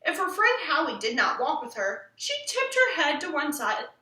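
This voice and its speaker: A woman reading a children's book aloud in an expressive, animated storytelling manner. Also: high-pitched, normal speed. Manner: animated, acts out the quoted lines. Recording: roomy echo, distant from the mic.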